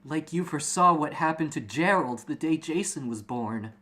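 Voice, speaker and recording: A woman playing a solemn, protective mother in a measured, earnest reading for amateur radio theater. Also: low-pitched, lively intonation, a bit bright. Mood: reproachful. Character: solemn, protective mother advising young son.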